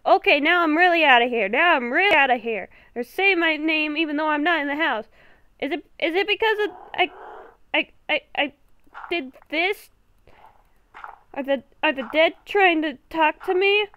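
A woman playing a nervous young female werewolf in an improvised Minecraft roleplay, halting and stammering as she talks. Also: sits high, sharp tone, no room sound. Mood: frightened, pleading. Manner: halting, stammering, repeats herself. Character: nervous young woman werewolf, hearing ghostly voices at night.

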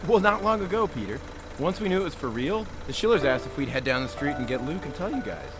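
A man's voice: on the high side, very animated, fast, music in the background. An actor playing a friendly neighborhood father in a family audio drama, with a car engine behind him. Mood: amazed, relieved. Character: friendly neighborhood father bringing good news.

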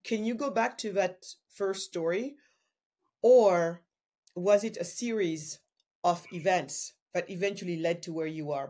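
A woman's voice: fairly low pitch, a little mellow, husky. A woman hosting an interview, asking earnest, searching questions and restarting her phrasing as she goes. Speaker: host.

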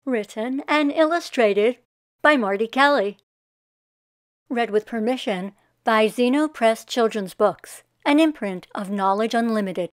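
A middle-aged woman hosts a read-aloud for young children. Her voice is fairly high and crisp, and she takes her time, addressing her listeners directly in a deliberate way. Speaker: children's story-time host.

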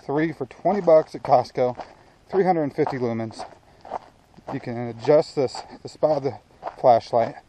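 American guy in his 30s doing a laid back hiking vlog, mid pitch, noisy outdoor camera sound. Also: normal speed. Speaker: outdoor vlogger. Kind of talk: casual off-the-cuff chat to camera. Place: outdoors on an open hilltop trail. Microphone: action camera at arm's length.

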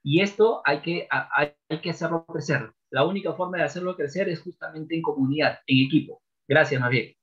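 A man's voice: on the high side, fairly quick, hardly any pauses, a bit bright, dull audio. Emphatic sales trainer man on a video call. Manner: emphatic, repeats key phrases like a trainer. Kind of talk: podcast interview by video call. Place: home office with bookshelves.

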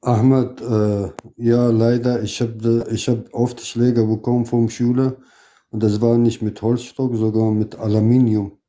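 A man from Iraq whose first language is Arabic, a guest on a live stream who talks casually in halting, simple phrases. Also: medium pitch, little pitch movement, warm tone. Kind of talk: casual chat.

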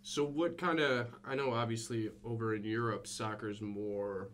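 A man's voice: on the low side, fairly even intonation, unhurried, a bit bright, slight background noise. A young man with an American accent, interviewing casually as a podcast host. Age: young adult. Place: small indoor room with acoustic panels. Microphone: podcast boom microphone.

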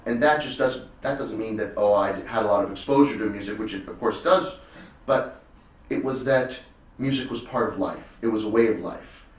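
A man in his twenties giving a thoughtful, measured and articulate interview answer, often rephrasing himself. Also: on the low side. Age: 20s. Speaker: cello soloist, interviewee. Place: furnished indoor room, seated on sofa. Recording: roomy echo, distant mic, faint noise.

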